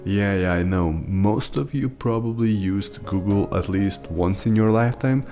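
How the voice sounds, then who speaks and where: A man's voice: on the low side, unhurried, mellow, soft background music. A man in his thirties with Lithuanian as his first language, giving rambling, rant-style advice while showing his screen from a small home room. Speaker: YouTube host, team lead. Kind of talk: casual screen-share tutorial.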